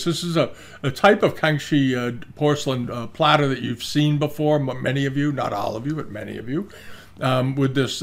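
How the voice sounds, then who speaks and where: Older male host, relaxed chatty auction talk, meandering with fillers, desk webcam recording. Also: medium pitch. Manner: rambling, many fillers and restarts. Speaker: antiques auction commentator. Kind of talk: casual video commentary. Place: indoor room, screen-share with webcam inset.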